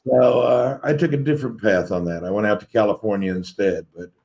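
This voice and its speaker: A man's voice: lowish voice, fairly quick, creaky, a little mellow. A man from Tennessee, co-hosting a live-stream chat and asking friendly, short questions. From Tennessee, USA. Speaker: co-host. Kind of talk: casual chat.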